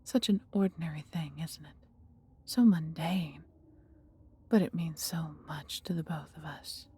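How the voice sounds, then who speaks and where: A female dragon , acted by a woman speaks in a fantasy audio roleplay, fiercely protective and full of affection. The voice is heavily breathy and husky, on the low side, with a dark, soft tone. The delivery is theatrical yet intimate, in formal storybook phrasing. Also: unhurried, exaggerated voice. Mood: tender, proud.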